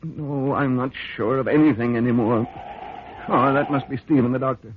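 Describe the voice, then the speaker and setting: An actor playing an anxious, doubtful husband in a vintage radio drama, confiding in an earnest, hesitant way. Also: male voice, medium pitch, steady tone, normal speed, background noise, close to an everyday voice. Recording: dry, tinny old radio recording.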